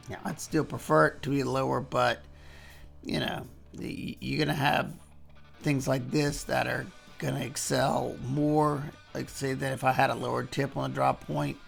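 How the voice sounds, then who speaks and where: A man hoarse from losing his voice, casually talking through a knife review while he demonstrates cuts. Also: medium pitch. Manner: relaxed, folksy, talks while demonstrating cuts. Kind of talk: casual hands-on product review.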